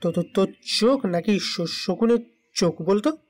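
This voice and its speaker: High-pitched flustered young man acted out. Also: animated, moderate pace, a little mellow. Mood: embarrassed, scared. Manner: flustered, stammering. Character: nervous, lovestruck young man pleading with his elders. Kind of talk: Bengali audio story acting.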